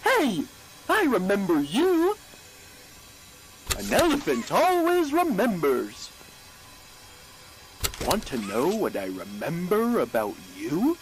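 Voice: medium pitch, huge pitch swings, slow, pauses often, over music. An actor voicing a friendly cartoon elephant toy with a sinister undertone. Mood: friendly, eerie. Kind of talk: cartoon toy character voice lines. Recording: noisy recording with clicks.

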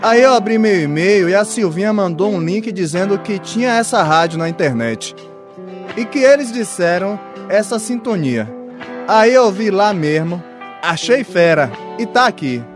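Guy acting eager young brazilian dude, high voice, slangy, easygoing, radio soap with music behind. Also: animated, moderate pace. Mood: enthusiastic.

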